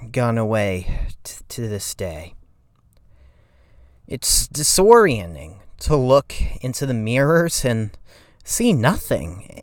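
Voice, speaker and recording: A timid, haunted narrator acted by a man tells a first-person horror story very slowly. The delivery is shaky and halting, catching and repeating on words. The voice is mid-pitched and recorded dry and close. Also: no room sound. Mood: uneasy, hesitant. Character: timid, shaky, haunted narrator recalling a frightening night drive. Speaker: creepypasta reader.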